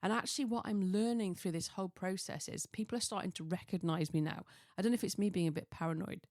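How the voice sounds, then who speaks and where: A slightly hoarse woman chatting casually and solo as a podcast host, rambling and self-deprecating, often wandering off on tangents. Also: medium pitch, fast, darkish tone, no echo, clean studio sound. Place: small home office.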